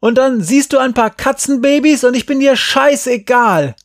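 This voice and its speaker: A man voicing a hard-faced but frightened child in an audiobook, blunt, forceful and slangy. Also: medium pitch, fairly even intonation, a little slow, no echo, studio quality. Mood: furious, scared. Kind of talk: acted audiobook dialogue.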